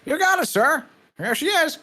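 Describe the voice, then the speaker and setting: Woman voicing a brash, high-pitched male police detective. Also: sing-song, moderate pace, sharp tone, heavily acted. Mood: dutiful, matter-of-fact. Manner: folksy, emphatic, ends phrases with pal or sir. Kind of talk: live fan dub of a video game.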